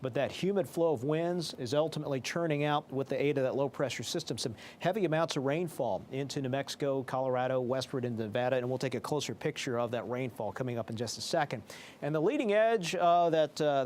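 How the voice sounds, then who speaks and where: Male weather presenter, mid-pitched, formal explanatory forecast delivery, slight background noise. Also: fast talker, darkish tone. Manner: formal, explanatory broadcast delivery with technical phrasing. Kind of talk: live weather forecast commentary.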